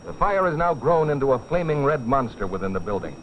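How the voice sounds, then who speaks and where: A man's voice: medium pitch, very animated, a little fast, a bit bright, a little hiss or hum. A man narrating a safety training film as a dramatic scripted story, in a grave, urgent, theatrical manner. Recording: dull vintage film soundtrack with music.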